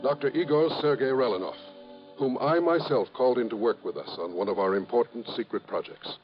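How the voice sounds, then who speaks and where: An actor playing an anxious, formal and hesitant doctor of science in a 1950s radio drama. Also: male voice, medium pitch, a little fast, lo-fi sound, soft background music, lightly acted. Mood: grave, defensive. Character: anxious, precise, guilt-ridden male government scientist doctor.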